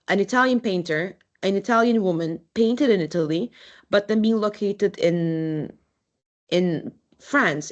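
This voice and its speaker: A young adult woman from Turkey, giving a university lecture as an architect, speaking in a halting, conversational way with frequent restarts. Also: mid-range voice. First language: Turkish.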